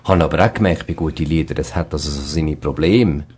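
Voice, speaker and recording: A man's voice: rumbling low, brisk, a little mellow, slightly rough. A man with a Zurich Swiss German accent, a band musician talking casually in a radio studio interview, often repeating words as he searches for them.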